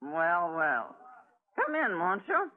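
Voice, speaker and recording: An actress playing a brash, flirtatious bar woman with a big, booming voice in a 1940s radio drama. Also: huge pitch swings, slow, full character voice. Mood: flirtatious, gushing. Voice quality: big, voluminous voice. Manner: gushing, theatrical flirtation. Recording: lo-fi vintage 1940s radio recording.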